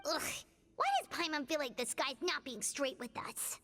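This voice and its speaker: A woman voicing a tiny floating female fairy companion who is blunt and excitable and talks about herself in the third person, in game cutscene dialogue. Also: moderate pace, long reverb tail. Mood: suspicious. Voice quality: high, squeaky, cartoonish. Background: music score.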